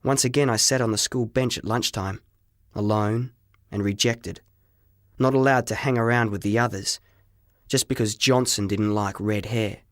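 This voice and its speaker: A man narrating a story in the first person as an audiobook reader, with expressive, dramatic, deliberate phrasing. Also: fairly low pitch, no echo, studio quality.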